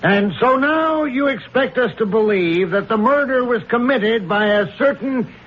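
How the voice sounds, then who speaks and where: Dull, slightly noisy radio courtroom drama: doubting prosecutor, high voice, insistent and sardonic. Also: male voice, lively intonation, measured pace. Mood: sceptical, pressing. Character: sceptical, relentless courtroom prosecutor. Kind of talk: 1970s radio drama acting.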